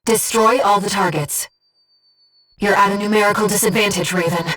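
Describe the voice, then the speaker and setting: A woman voicing a gentle, composed, earnest disembodied female guide in a video game, speaking in a measured, softly formal and precise way. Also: medium pitch, steady tone, recorded from a distance, close to an everyday voice, poor audio. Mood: composed, focused. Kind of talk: scripted video game voice acting, mission guidance. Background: music.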